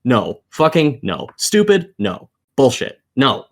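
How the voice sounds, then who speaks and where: A man's voice: mid-range voice, sing-song, slow. A man co-hosting a gaming podcast in a casual call, giving sweary, exasperated, emphatic opinions. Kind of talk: heated opinion rant in a casual group chat. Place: remote voice call over the internet.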